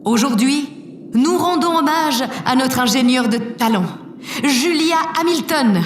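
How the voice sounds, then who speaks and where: A self-assured female president with a fairly high voice. She orates solemnly and formally, as in an audio drama. The sound is echoey and set away from the mic. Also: a little slow. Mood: solemn, stately. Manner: formal, oratorical. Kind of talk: scripted audio drama speech. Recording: echoey hall PA, distant, faint noise.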